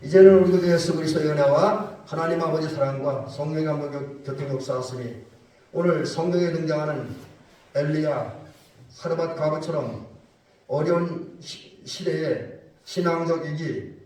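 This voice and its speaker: A male pastor with a stuffy nose and slightly hoarse voice from a cold, giving plain, hesitant church announcements and a benediction. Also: on the high side, moderate pace, a little mellow. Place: church sanctuary. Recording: echoey church hall, mic far off.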